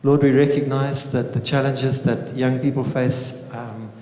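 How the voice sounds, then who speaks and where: A man's voice: medium pitch, flat delivery, measured pace, dark tone. A man speaking informally as a teacher opening a panel evening, addressing a room and praying. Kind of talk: informal welcome speech and spoken prayer. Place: indoor room with small audience. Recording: echoey reverberant room.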